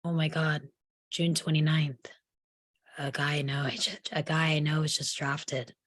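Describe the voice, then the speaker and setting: Actress as guarded young female patient, table read, deep for a woman, questioning. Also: little pitch movement, moderate pace, fairly bright tone. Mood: quietly shaken. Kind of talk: live script table read.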